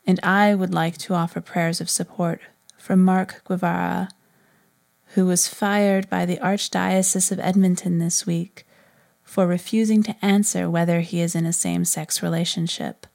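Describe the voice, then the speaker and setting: A woman's voice: fairly low pitch, flat delivery, normal speed, vocal fry, darkish tone. A woman, reading as a podcast host in a scripted style. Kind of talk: scripted narration, reading prayer requests.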